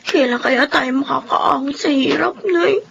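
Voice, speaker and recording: High-voiced humble young Filipina vendor. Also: female voice, a little slow, vocal fry, fairly bright tone, muffled recording, heavily acted. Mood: hurt, wistful. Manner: polite, plaintive. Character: humble, wistful young woman selling rice cakes. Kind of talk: melodramatic Filipino audio drama acting.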